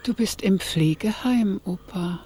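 A woman's voice: medium pitch, a little slow, mellow, slightly rough, dry recording. An actress playing an imperious, sardonic old woman in a radio crime comedy, theatrical and mocking.

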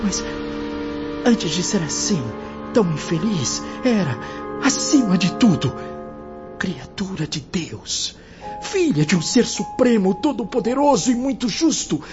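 A tormented male spirit wracked with guilt in a radio drama. His solemn, declamatory delivery swings widely in pitch. The sound is dull, with clear music underneath. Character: anguished repentant male spirit, tormented murderer.

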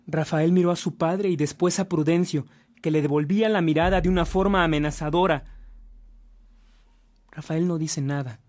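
A man's voice: fairly high pitch, steady tone, moderate pace, pauses often, darkish tone, close to an everyday voice. A man acting as the storytelling narrator of a scripted radio drama. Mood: solemn storytelling. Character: storytelling narrator of a saint's life.

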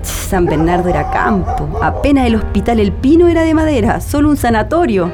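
An actress with a Chilean accent playing a reflective woman who recounts her family's hard past in a measured, deliberate way, as in a radio play. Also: on the high side, expressive, natural voice. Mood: nostalgic. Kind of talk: radio play acting, scripted reminiscence. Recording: music under the voice.

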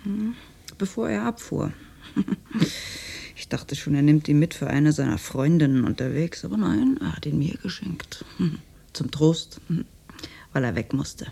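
Female partner, low husky breathy voice, creaky, intimate, wry, radio drama. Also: lively intonation, unhurried, mellow, full character voice. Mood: wry, consoling. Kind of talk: naturalistic radio drama dialogue.

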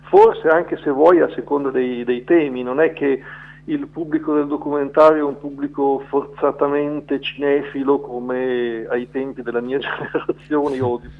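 A man's voice: medium pitch, flat delivery, measured pace, vocal fry. A middle-aged man giving an unscripted interview, hesitant and often circling back to correct himself as he explains. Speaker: film festival selection curator, interview guest. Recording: thin, narrow phone line.

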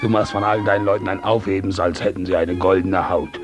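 Man as petulant, wheedling nobleman over loud music. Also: low-pitched, steady tone, fairly quick, close to an everyday voice. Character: wheedling, jealous nobleman begging a favour from his brother. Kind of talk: 1950s German radio drama acting. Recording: 1950s radio recording with loud music.

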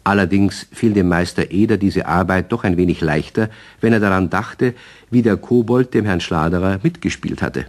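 A man narrating a children's radio play in an expressive, wry storytelling manner. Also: sits low.

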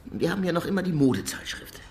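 A man's voice: fairly high pitch, expressive, quick pace, darkish tone, a little hiss or hum. An actor playing an earnest, sceptical male police inspector in a radio crime drama, arguing his case emphatically. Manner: emphatic, argumentative.

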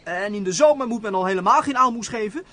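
A man's voice: high-pitched, fast talker, fairly bright tone. An actor playing a naive, chatty young man, a steward's simple son, in a radio play.

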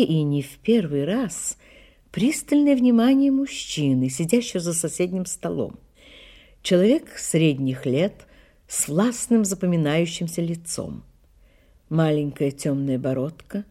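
A woman's voice: lowish voice, mellow. A woman narrating an audio drama from a script, in a storytelling style.

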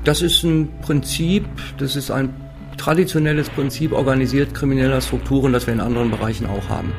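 A man's voice: medium pitch, fairly even intonation, fairly quick. A man speaking formally, like an official, in an expert interview. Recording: music under the voice.

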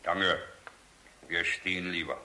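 Stubborn interrogated worker, radio play. Low, piercingly hard tone, guarded and curt. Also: male voice, steady tone, takes it slowly, bright, forceful tone, a little hiss or hum, heavily acted. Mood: guarded, defiant. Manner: terse, guarded.

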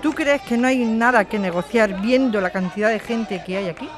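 Casting: actress as defiant female lawyer for evicted neighbours, indignant and forceful, audio drama, music and busy crowd behind. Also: on the high side, moderate pace, close to an everyday voice. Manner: indignant, challenging, forceful rhetorical questions. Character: combative activist lawyer daughter defending evicted neighbours.

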